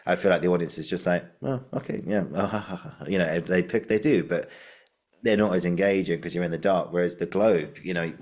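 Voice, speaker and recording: A man's voice: low-pitched, normal speed, a little mellow. A man hosting a podcast, sharing anecdotes in an eager, informal, chatty way. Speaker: podcast host. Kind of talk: casual anecdotal chat.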